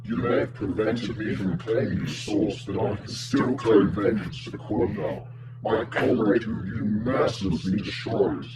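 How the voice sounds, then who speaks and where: A man's voice: lowish voice, unhurried, close to an everyday voice. A man acting in an audio drama as a vengeful, gloating villain with a menacing, theatrical delivery. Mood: gloating menace. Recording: loud background noise.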